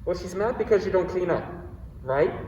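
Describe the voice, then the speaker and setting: A man's voice: high-pitched, expressive, normal speed, darkish tone, slight background noise. A young man acting in a student stage play as a laid-back, supportive friend, casual and reassuring. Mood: encouraging. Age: young adult. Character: laid-back, supportive young male buddy giving advice. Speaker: student actor. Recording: dull echoey hall, distant mic.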